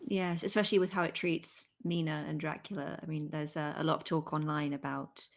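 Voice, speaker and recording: A woman's voice: sits low, level tone, fairly quick, creaky. A woman interviewer chatting casually with her guest about creative work.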